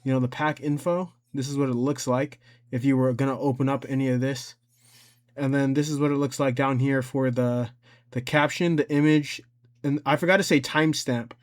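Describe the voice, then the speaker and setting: A man's voice: mid-range voice, steady tone, moderate pace. A man hosting a casual how-to tutorial, talking in a hesitant, rambling way and often correcting himself. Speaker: tutorial host. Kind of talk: casual screen-recorded software tutorial.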